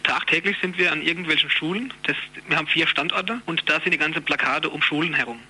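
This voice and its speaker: Man, spontaneous interview answers, colloquial, slightly high pitch, rapid pace. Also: bright, forceful tone, slightly rough. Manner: colloquial, explanatory. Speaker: trade union youth secretary, interviewee.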